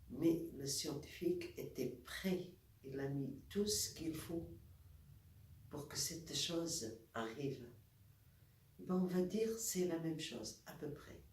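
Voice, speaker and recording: Woman w a cold talking really slow, guiding an exercise, echoey room, sounds far from the mic. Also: deep voice. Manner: slow, calm, demonstrating movements step by step. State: has a cold. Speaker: wellness instructor. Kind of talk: guided exercise instruction.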